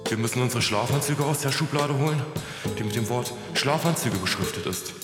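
A man's voice: mid-range voice, a little fast, natural voice. A man playing a meek, put-upon ghostly husband in a German radio play, with music behind him. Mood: meek, dutiful. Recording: long hall reverb over clear music.

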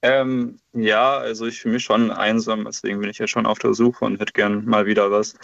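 A man phones in to a radio show and talks haltingly, full of pauses and fillers. His voice is low and gravelly, and the line sounds dull and dry. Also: little pitch movement, a bit bright, no echo. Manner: hesitant, halting, many fillers. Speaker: radio caller. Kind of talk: casual phone-in chat.

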